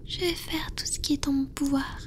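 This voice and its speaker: Woman as whispering, high-voiced ancient vampire lover. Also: steady tone, close to an everyday voice. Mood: tender, moved. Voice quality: soft, breathy whisper. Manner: slow, intimate, halting with pauses. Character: ancient powerful female vampire, tender lover, wise and hesitant. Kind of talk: whispered French ASMR romance roleplay.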